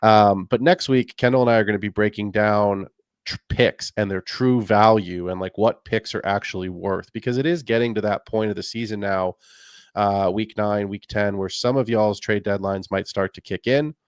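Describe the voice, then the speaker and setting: Chatty low-voiced podcast host, dry sound. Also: male voice, fairly even intonation, normal speed, clear, resonant tone, dry recording. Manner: chatty, rambling, friendly. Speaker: fantasy football podcast host. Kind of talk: casual podcast talk.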